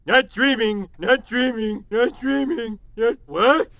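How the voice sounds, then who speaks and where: Casting: dim, childish, easily outraged cartoon father, whiny and exclamatory, very high-pitched, for comedy game. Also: takes it slowly, music in the background, heavily acted. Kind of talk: animated comedy game acting.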